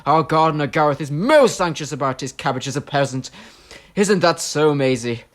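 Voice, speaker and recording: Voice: mid-range voice, animated, normal speed, natural voice. An actor playing a pompous local MP and head of a grand family, given to theatrical outrage.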